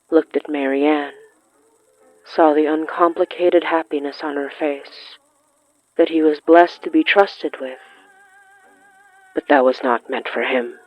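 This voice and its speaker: A narrator telling a bittersweet period tale in a tender, solemn, measured dramatic reading with deliberate pauses. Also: mid-range voice, no room sound, dull audio, close to an everyday voice. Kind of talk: slow dramatic scripted narration of a horror fiction podcast.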